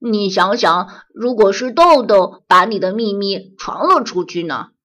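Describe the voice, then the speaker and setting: A woman's voice: mid-range voice, measured pace. A woman doing a patient, wise wolf big brother. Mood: gently firm. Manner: calm, reasoning, gently firm. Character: kind older wolf friend, patient and wise. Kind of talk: children's audio story.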